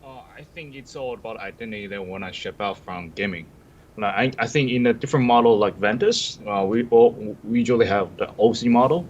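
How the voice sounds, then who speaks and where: A man from the product team answering questions on a stream, speaking carefully with halting phrasing. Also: lowish voice, lo-fi sound, slight background noise. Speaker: product staff. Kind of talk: casual live stream chat.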